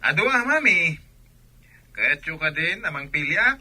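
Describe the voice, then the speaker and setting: A man's voice: fairly high pitch, sing-song, moderate pace, bright, forceful tone, exaggerated voice. A man playing a busy, choosy grown son in an Ilocano audio drama. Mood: breezy. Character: busy, choosy grown son running his own shop.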